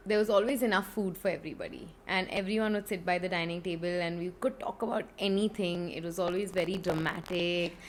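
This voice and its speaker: Bombay girl with an indian accent on a podcast, lively storyteller, rushes ahead in bursts, a little hiss behind her. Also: medium pitch. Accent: Indian English. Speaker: podcast co-host. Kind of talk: casual chat.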